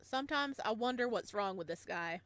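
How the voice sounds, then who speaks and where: A woman game streamer doing playthrough commentary and reading narration aloud in an expressive, reactive way. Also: mid-range voice, fairly quick.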